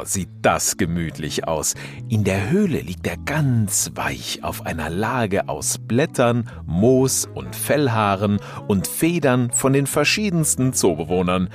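A man narrating a children's story expressively, full of wonder and speaking directly to young listeners. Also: on the low side.

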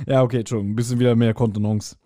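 A man co-hosting a podcast in casual chat, telling things like an animated storyteller. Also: medium pitch, rapid-fire. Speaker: podcast co-host. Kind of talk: casual chat, speculating about an audio drama episode.

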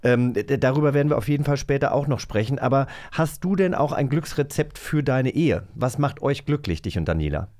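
A man's voice: mid-range voice, animated, brisk, hardly any pauses, darkish tone. A man hosting a casual interview in a chatty, enthusiastic way, sometimes feeling for his phrasing. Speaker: interview host.

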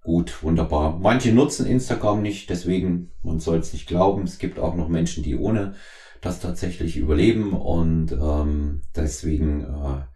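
A middle-aged man from East Germany hosting a conversational podcast interview, speaking in wordy, halting phrases. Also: deep voice, mellow. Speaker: podcast host. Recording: roomy, echoing voice recording.

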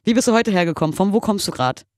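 A woman hosting a radio interview, lively and chatty, with frequent laughs. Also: medium pitch, quick pace, no echo, clean studio sound. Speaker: radio show host.

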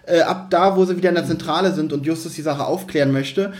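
A man's voice: a little high, fast talker. A man hosting a casual review chat, speaking in a critical, argumentative way. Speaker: podcast host.

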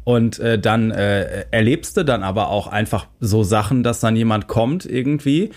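A man in casual podcast chat as co-host, speaking in halting, broken phrases and often checking for agreement. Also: fairly low pitch, a little mellow.

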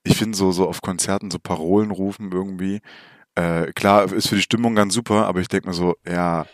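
A man's voice: sits low, fairly even intonation, brisk, dry recording. A man with a slightly nasal voice from a lingering cold, chatting casually as a podcast host on a close microphone. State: getting over a cold. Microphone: close USB or headset microphone.

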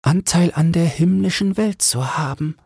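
A man voicing a young mermaid girl for a fairy-tale audiobook, soft and breathy, gentle, plaintive and yearning. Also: a little high, normal speed, no echo, studio quality, voice pushed up. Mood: sad, yearning.

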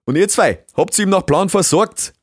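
A gruff, eccentric beaver inventor from an animal storybook. He speaks broad Bavarian and blusters like an exasperated boss, his pitch swooping widely in a dry, close recording. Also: animated, normal speed, no echo. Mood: busy, commanding. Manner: blustering, scolding, dialect-heavy. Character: gruff, bossy, eccentric old beaver scientist, easily exasperated.

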